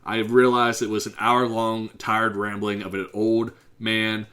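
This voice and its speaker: A hoarse man with a Pittsburgh accent hosting his own podcast, talking in a loose, unplanned monologue that keeps speeding up. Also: fairly low pitch, flat delivery, a bit bright. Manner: rambling and unscripted, drifts into fast talk. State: hoarse from a bad cough. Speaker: podcast host. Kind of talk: casual solo podcast monologue.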